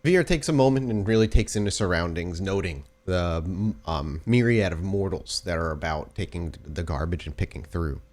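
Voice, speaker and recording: Man, low pitch, game master, descriptive improvised narration, halting and rephrasing. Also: lively intonation, darkish tone. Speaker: game master of a tabletop roleplaying podcast.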